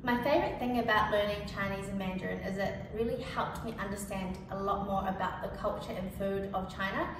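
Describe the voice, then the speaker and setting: A woman's voice: mid-range voice, fairly even intonation, brisk, hardly any pauses. Chatty young Kiwi woman, echoey distant sound. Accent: New Zealand. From New Zealand. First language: Cantonese. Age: young adult. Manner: casual and chatty, with many "like" and "you know" fillers. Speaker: featured speaker. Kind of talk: personal testimonial. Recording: echoey, distant mic with background noise.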